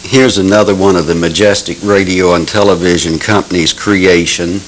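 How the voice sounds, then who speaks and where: A man's voice: deep voice, steady tone, moderate pace, rough recording. A man explaining items as a presenter in a show-and-tell style. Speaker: presenter of vintage radios.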